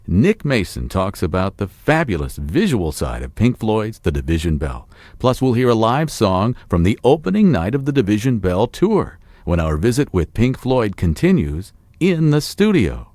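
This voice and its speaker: A man hosting a radio music special, reading scripted links with a lively, promotional delivery. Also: lowish voice, no room sound. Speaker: radio host. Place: radio studio. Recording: dry studio sound.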